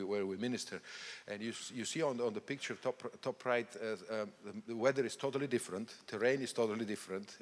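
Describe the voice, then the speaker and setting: A man from Bulgaria with a Bulgarian accent, a missionary guest answering questions on stage, speaking haltingly with restarts. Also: lowish voice. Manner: halting, with many restarts and fillers. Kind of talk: interview on stage. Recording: long hall reverb.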